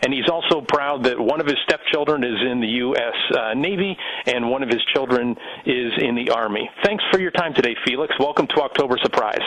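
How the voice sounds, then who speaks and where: A man's voice: medium pitch, a little fast, few pauses, a bit bright, rough recording. A man hosting an interview podcast, introducing and questioning his guest.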